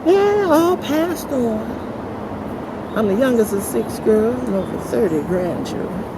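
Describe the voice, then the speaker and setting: Man doing a high-voiced, spry ancient Harlem woman. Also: animated, a little slow, full character voice. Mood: proud. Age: over 100 as played. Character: spry, plain-spoken 104-year-old Harlem woman. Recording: outdoor city noise, traffic.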